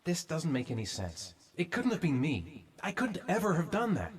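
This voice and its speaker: An actor playing a troubled, haunted father in a dark thriller video game, brooding in inner monologue. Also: on the high side, wildly swooping pitch, normal speed. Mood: anguished, confused.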